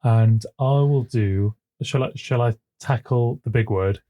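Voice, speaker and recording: A man co-hosting a podcast in casual chat, with a smooth voice. He chuckles often and repeats words as he gets going, speaking into a desk microphone at his home computer. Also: medium pitch, a little slow, mellow. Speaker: podcast co-host.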